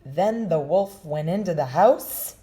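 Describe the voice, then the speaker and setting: A woman reading a children's story aloud, taking her time over every line. Her voice is mellow and a little low. Her pitch swings widely as she tells it. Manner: slow, expressive storytelling. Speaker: storybook reader.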